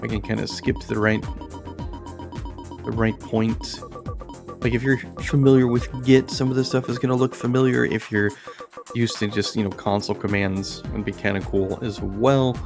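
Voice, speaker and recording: A man hosting casual, unscripted game commentary, halting and rambling as he searches for words. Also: mid-range voice, gravelly. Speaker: host of an indie game review channel.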